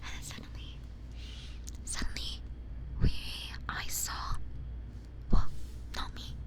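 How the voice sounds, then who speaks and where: A woman streamer chatting casually in a breathy ASMR whisper close to a binaural mic, informal and sweary, often repeating her phrases. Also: takes it slowly. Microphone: close binaural ear microphone.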